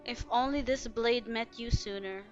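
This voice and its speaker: Female streamer, fairly high voice, casual gameplay reactions, slightly dull lo-fi mic over game music. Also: normal speed.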